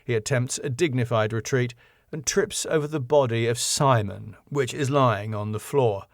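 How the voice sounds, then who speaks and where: A man narrates a comedy script, reading out scene headings and stage directions. His voice is mid-pitched, and the recording is very dry with no room sound. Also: normal speed, no room sound.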